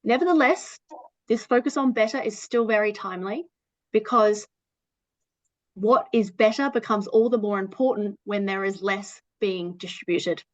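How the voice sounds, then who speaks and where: A woman co-chairing a webinar, opening it in a measured, formal way. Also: mid-range voice, dry recording, rough recording.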